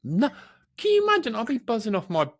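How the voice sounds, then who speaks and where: A man co-hosting a podcast, chatting casually and off the cuff in a rambling, playful, excitable way. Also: extremely high pitch, quick pace. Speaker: podcast co-host. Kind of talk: casual unscripted podcast chat.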